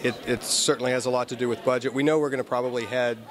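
A man's voice: medium pitch, steady tone, very fast. A man in his forties, a committee chair, answering an interviewer matter-of-factly in a hotel conference lobby. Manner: matter-of-fact, planning-minded. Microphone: handheld interviewer mic. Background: crowd chatter.

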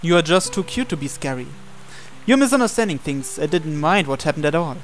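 Higher-voiced man reading a visual novel. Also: lively intonation, a little fast. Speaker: gameplay reader voicing the male protagonist. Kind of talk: reading visual novel dialogue and narration aloud in character. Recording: music playing under the voice.

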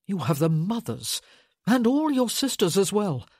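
A woman reading a novel, voicing a guilt-ridden, defensive man who talks in a terse, halting, desperate way. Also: medium pitch, big pitch swings, a little mellow, husky, no echo, clean studio sound. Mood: defensive, tense.

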